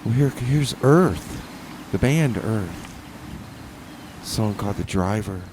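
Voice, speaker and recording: A man's voice: lowish voice, expressive, slow, darkish tone, a little hiss or hum. Rambling man hosting community radio. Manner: rambling, hesitant, full of fillers and restarts. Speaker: radio DJ host. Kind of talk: casual radio DJ patter.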